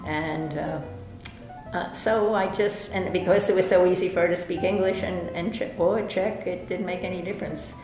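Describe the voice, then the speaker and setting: An older woman from New York, an interviewee, remembering her past in an unhurried way with many hesitations. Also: lowish voice, steady tone. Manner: unhurried, with frequent hesitations and restarts. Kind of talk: casual reminiscing interview. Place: indoor library room with bookshelves. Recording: roomy echo, music underneath.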